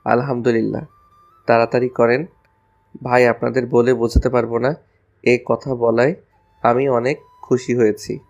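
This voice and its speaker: A man's voice: mid-range voice, level tone, moderate pace, creaky, pauses often, darkish tone, lo-fi sound, soft background music, natural voice. A man playing a cheeky, lovestruck college student with a flippant, teasing way of talking in an audio love story.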